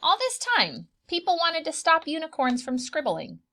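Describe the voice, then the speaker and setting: Woman, high voice, very lively intonation, preschool storytime read-aloud, expressive, dramatic, questions to children. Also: moderate pace, a little hiss or hum. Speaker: preschool teacher reading a picture book aloud.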